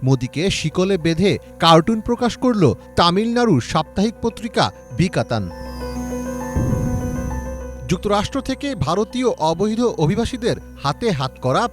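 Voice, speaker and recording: A man with a Bangladeshi accent reading a scripted news report as a voice-over. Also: high voice.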